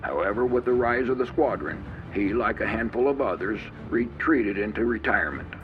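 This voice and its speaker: Guy narrating a fan audio drama, lowish voice, kinda muffled lo-fi sound. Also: animated, normal speed. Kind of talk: scripted narration.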